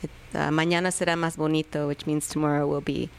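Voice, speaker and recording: A woman's voice: low-pitched, flat delivery, fast talker, vocal fry, clear, resonant tone. A woman in her thirties whose first language is Spanish, chatting hesitantly as a guest musician in a radio studio, with many fillers and restarts. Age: 30s. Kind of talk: casual radio interview chat.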